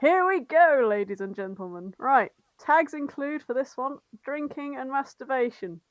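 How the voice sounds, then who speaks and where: A woman with a fairly high voice, recorded very dry. She chats casually as a co-host, recapping stories in a giggly, self-mocking way with some swearing. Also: moderate pace, dry recording, poor audio. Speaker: podcast co-host. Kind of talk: casual comic chat, recapping fan fiction.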